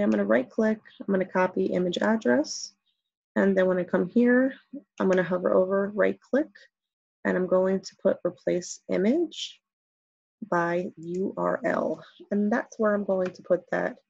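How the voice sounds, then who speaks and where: Low husky woman walking through a tutorial. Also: a little mellow, no echo, muffled recording. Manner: chatty, step-by-step, many okays. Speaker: teacher making tutorials. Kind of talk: casual screen-recorded tutorial.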